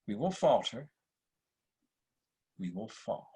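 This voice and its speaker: An actor playing a wise Roman emperor in a drama read-through, speaking deliberately with weighty pauses like an orator. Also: male voice, fairly low pitch, sing-song, dark tone, husky, heavily acted. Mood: reflective, solemn. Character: wise, measured Roman emperor, philosophical and probing. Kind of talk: dramatic table-read acting.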